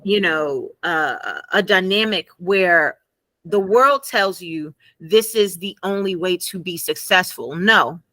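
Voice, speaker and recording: A woman guest and former math teacher in a conversational interview, telling animated stories and laughing often. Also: lowish voice, slow pace, no echo.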